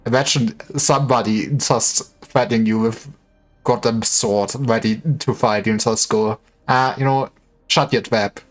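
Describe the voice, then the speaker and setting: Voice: medium pitch, fairly even intonation, unhurried. A livestreamer giving casual, wry commentary on a game, with joking asides. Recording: faint music behind. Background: game music.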